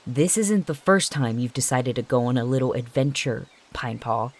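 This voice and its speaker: A woman's voice: extremely low pitch, animated, moderate pace. A woman voicing a short-tempered female cat mentor who scolds in clipped questions, in an audio drama. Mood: cold scolding.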